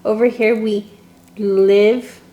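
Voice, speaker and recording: A young woman chatting as a podcast guest, relaxed and full of "like" fillers. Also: mid-range voice, slow, lo-fi sound. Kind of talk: casual chat.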